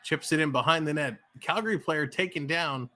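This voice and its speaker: A man's voice: on the high side, normal speed, bright, forceful tone. A man from the Toronto area with a Canadian accent, hosting a livestream with casual play-by-play while chatting with viewers. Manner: chatty, reads chat aloud, laughs easily. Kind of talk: casual play-by-play with live chat.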